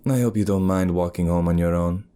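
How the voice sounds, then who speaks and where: A man's voice: low voice, steady tone, a little fast, mellow, clean tone, natural voice. A man voicing an aloof, terse female monster huntress in a spoken story, curt and detached. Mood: cool.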